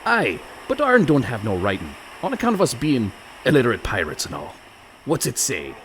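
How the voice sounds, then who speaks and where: Swooping, menacing pirate ruffian. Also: male voice, medium pitch, wildly swooping pitch, normal speed, a bit bright, rough recording. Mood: sly threatening. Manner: mock-jovial menace, ungrammatical pirate dialect. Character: menacing, dim, sly illiterate pirate ruffian, male. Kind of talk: comic radio play acting. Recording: clear background noise.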